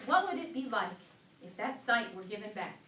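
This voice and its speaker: A woman's voice: medium pitch, expressive, fairly quick. A woman giving a dramatic staged sermon reading, preaching in rhythmic, declarative phrases. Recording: echoey distant hall, faint background noise.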